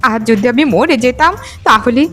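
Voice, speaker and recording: A woman's voice: fairly high pitch, lively intonation, normal speed, a bit bright, faint music behind. A woman playing an elderly, righteous mother-in-law in a cartoon, with a dramatic manner that repeats words for emphasis. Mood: outraged, scolding. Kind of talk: Bengali animated moral-story voice acting.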